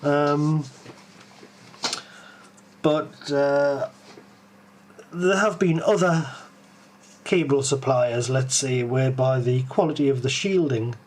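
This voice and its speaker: A man's voice: medium pitch, slow, pauses often, darkish tone. A man with a Geordie accent hosting a live tech stream, musing aloud with lots of ums and restarts as he answers viewers. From Tyneside, England.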